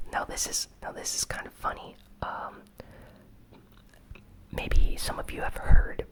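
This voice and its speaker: Whispering ASMR gaming news host. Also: fairly bright tone. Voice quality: breathy unvoiced whisper. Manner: casual, informal.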